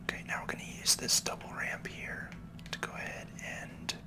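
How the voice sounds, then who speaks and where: A person giving gentle, unhurried ASMR game commentary in a breathy whisper, explaining as they play. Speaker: gaming video host.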